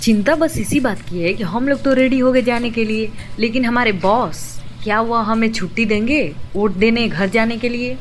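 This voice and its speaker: A woman's voice: on the high side, moderate pace, hardly any pauses, soft background music, lightly acted. A woman playing a sensible, well-informed young working woman with worries in a scripted radio drama, explaining things earnestly and bossing her friends. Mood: worried. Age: young adult.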